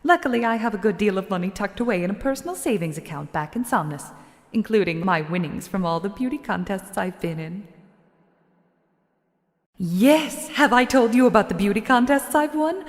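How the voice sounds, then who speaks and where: An actress as a haughty female shadow creature from a video game, utterly in love with herself. She talks down to everyone in a theatrical, boastful manner, at a medium pitch. A long reverb trails her voice. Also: expressive, moderate pace, lightly acted. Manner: self-absorbed, theatrical, condescending, boastful. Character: vain, haughty female shadowling merchant, floating spirit creature. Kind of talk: dramatic video game voice acting.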